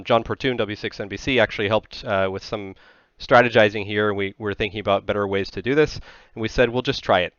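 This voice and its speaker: Technical presenter, American male, 30s, measured explainer delivery, dry close headset sound with slightly muffled top end. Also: on the low side, fairly bright tone, clear, resonant tone, no echo.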